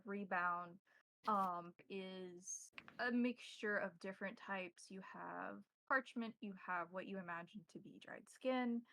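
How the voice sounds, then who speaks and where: Creaky-voiced woman running a tabletop game. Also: medium pitch, fairly bright tone, no room sound. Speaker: game master. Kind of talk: narrating a tabletop roleplaying game.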